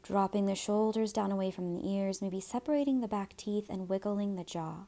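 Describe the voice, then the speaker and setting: Soft, mellow-voiced woman guiding yoga. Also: medium pitch, moderate pace. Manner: soft, soothing, breathy at phrase ends. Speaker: yoga and meditation teacher. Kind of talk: guided meditation and gentle yoga instruction.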